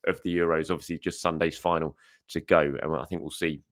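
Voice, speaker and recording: A young adult man who hosts a football news show, talking in a casual, chatty way. He is hoarse and often uses fillers and corrects himself as he goes. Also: extremely low pitch, little pitch movement, a little fast, a bit bright, no echo, studio quality. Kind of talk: casual solo commentary.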